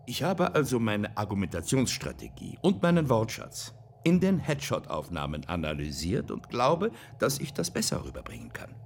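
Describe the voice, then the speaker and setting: An actor playing a talkative computer persona who argues insistently and lectures. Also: male voice, fairly low pitch, moderate pace, vocal fry, a little hiss or hum. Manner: animated, insistent, preachy. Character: talkative computer persona, male, pushy and lively.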